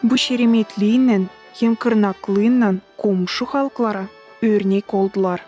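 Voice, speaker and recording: A woman voicing a patient mother who tells history to children in an animated cartoon, in a measured storytelling style. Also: mid-range voice, soft background music, natural voice. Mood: patient, instructive.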